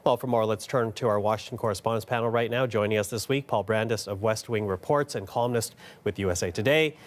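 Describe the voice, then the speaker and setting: A man's voice: fairly low pitch, fast. A man hosting a broadcast news panel, introducing and questioning guests in a presenter's style. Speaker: news program host.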